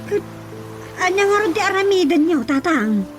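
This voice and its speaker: A woman acts a fretful village woman in an Ilocano radio comedy. Her voice is high, questioning and scolding, with a Filipino accent, and music plays clearly behind it. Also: very animated, moderate pace. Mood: anxious, scolding.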